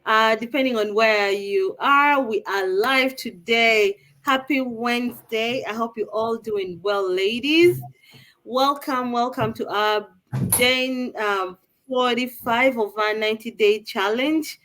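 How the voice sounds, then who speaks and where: A woman from Uganda hosts a live online panel, her voice sitting a little high. She is upbeat and chatty and talks straight to the viewers. The sound comes through a video call. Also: slow pace, fairly bright tone. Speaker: live show host.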